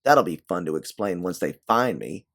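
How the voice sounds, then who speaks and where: A man's voice: sits low, expressive, normal speed, fairly bright tone, exaggerated voice. A man voicing a blunt, sarcastic, embittered woman time traveler on the run in an audio story. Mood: angry, exasperated.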